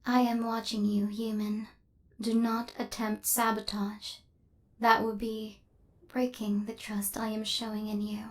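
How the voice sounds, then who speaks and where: A woman voicing a female killer robot in an ASMR roleplay, speaking in formal, clinical, literal phrases with a stern yet softening temper. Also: medium pitch, completely flat delivery, unhurried, lightly acted.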